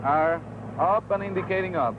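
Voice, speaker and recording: A man's voice: sits high, moderate pace. A man working as a pilot, calling out cockpit checks and procedures in clipped, methodical phrases. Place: small aircraft cockpit. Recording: tinny film audio, loud aircraft noise. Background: loud engine drone.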